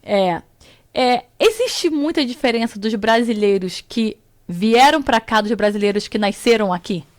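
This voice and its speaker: Brazilian woman host, slightly high voice, talkative, blunt opinions. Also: unhurried. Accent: Brazilian Portuguese. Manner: chatty, outspoken, animated asides. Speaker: podcast host. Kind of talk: casual podcast chat.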